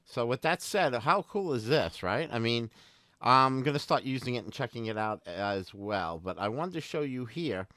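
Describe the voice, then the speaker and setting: Male tech host, mid-pitched and smooth, casual demo delivery, addresses viewers directly, tends to restate points. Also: animated, normal speed, fairly bright tone, no room sound. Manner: informal, repeats himself, addresses viewers directly. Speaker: tech and gaming channel host. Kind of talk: casual tutorial demonstration.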